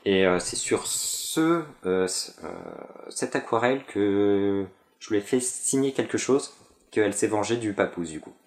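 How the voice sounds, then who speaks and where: Low-voiced young man, halting and echoey. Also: animated. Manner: hesitant, many fillers and restarts. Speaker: vlogger. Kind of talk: casual chat about a fan convention.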